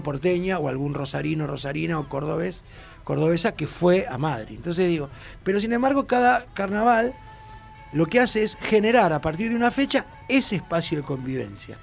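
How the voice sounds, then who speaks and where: A man with an Argentine accent hosting an educational radio talk, rambling and animated, often repeating himself. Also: a little high, gravelly. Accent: Argentine Spanish. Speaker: radio host.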